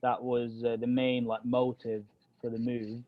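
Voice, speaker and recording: A man's voice: medium pitch, completely flat delivery, unhurried, dry recording, phone-quality sound. A young man from Egypt, with Arabic as his first language, speaking with a British accent with a hint of American. He co-hosts a casual podcast chat and talks hesitantly, with many fillers and restarts. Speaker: podcast co-host.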